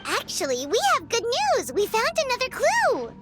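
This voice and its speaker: Tiny fairy companion, very high squeaky voice, bouncy lively intonation, eager game character, music behind. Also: female voice, moderate pace, exaggerated voice. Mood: perky, excited. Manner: chirpy, eager. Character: small, perky childlike floating fairy companion. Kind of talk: scripted game dialogue.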